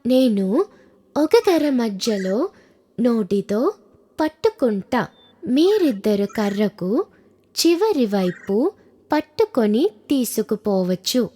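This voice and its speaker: A woman voicing a talkative old turtle in a children's cartoon, speaking in a pleading storybook way over soft music. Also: on the high side, big pitch swings, takes it slowly, long pauses, exaggerated voice. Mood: eager. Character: old chatty pond turtle, male, frightened.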